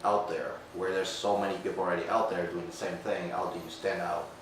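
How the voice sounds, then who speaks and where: Thirties man from Portugal, very low voice, off-mic, heavy room echo. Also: little pitch movement, fairly quick. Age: 30s. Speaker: aspiring personal trainer. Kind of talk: casual chat. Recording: far from mic, very echoey room, faint noise.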